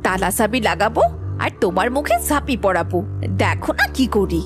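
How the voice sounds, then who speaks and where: A woman's voice: medium pitch, lively intonation, normal speed. A woman voicing the lines of a bossy, scolding housewife in a cartoon. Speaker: voice actor voicing the housewife and the maid. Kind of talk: cartoon dialogue. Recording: loud music under the voice.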